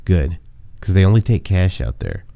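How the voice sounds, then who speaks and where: A man's voice: sits low, big pitch swings, normal speed, creaky, dark tone, no echo, exaggerated voice. A man with a stuffy, nasal cold voicing an easygoing male bar owner in a story he reads aloud. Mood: casual.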